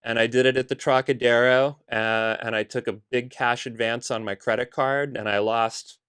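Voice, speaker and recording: Bahamian man telling stories, smooth voice. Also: medium pitch, moderate pace. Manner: relaxed storytelling with frequent "uh" and "you know". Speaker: guest, concert promoter. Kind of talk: casual interview conversation.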